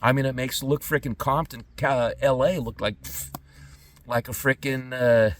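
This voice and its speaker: Man, middle-aged, podcast monologue, emphatic halting delivery, medium pitch, set back from the mic. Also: steady tone, distant mic, a little hiss or hum. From born in Trinidad and Tobago, lived in California. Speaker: podcast host. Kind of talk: unscripted monologue to camera.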